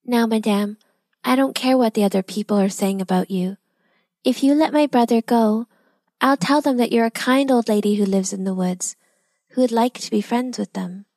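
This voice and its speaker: A woman voicing a brave, kind young girl who talks politely and calmly. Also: a little high, normal speed, dry recording, pitched above the performer's own voice. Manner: polite and calm.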